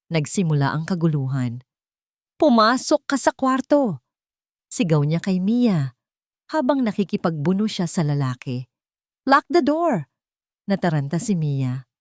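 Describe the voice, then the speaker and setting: Female narrator, lower register, deliberate and suspenseful, for dramatic audio fiction; close, dry recording. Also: dark tone, no room sound. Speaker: story narrator. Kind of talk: dramatic scripted audio story narration in Tagalog.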